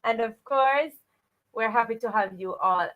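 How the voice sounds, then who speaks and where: A woman's voice: fairly high pitch, measured pace, lo-fi sound. A woman co-hosting a children's livestream, greeting viewers and often repeating set welcoming phrases.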